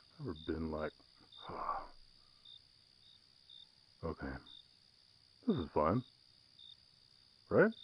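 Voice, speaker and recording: A man with an American Southern accent voicing a gentle, shy, gruff orc ranch hand in a romantic western audio roleplay, speaking haltingly and protectively. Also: low-pitched, dull audio, slight background noise, heavily acted. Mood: nervous. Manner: bashful, halting, softly protective.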